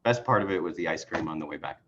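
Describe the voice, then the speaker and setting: Middle-aged man from Mexico, very low voice, halting and rambling with lots of fillers, muffled lo-fi video call audio. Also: animated, background noise. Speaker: party chair candidate. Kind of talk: casual conversational self-introduction.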